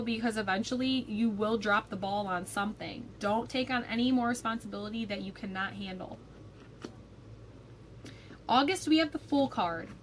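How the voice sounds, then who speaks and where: A young woman giving a casual tarot forecast, a little raspy from a cold, chatty with frequent ums and likes. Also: on the high side, a little hiss or hum. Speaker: tarot reader.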